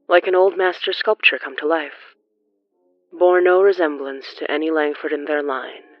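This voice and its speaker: A narrator telling a gothic horror story in a measured, theatrical, scripted style. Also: mid-range voice, no echo, highs cut off.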